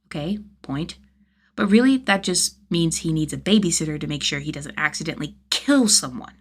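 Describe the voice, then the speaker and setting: Gruff-mannered young male vigilante for a storybook reading, sardonic and sweary, soft spot for kids, female performer. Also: low voice, expressive, normal speed. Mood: exasperated. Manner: casual, slangy, sweary, teasing.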